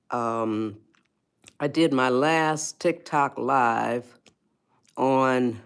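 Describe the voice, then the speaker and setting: A woman in her eighties hosting a casual livestream, chatting slowly and greeting viewers by name. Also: rumbling low, a bit bright. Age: 80s. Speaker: livestream host. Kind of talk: casual livestream advice talk.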